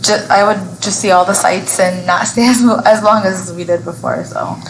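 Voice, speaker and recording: A woman's voice: lowish voice, steady tone, normal speed, a little hiss or hum, rough recording. A woman teacher of Yemeni background on a live podcast panel, eager and jumping into the talk. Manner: eager, jumps in over others. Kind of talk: casual panel discussion. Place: restaurant, live event.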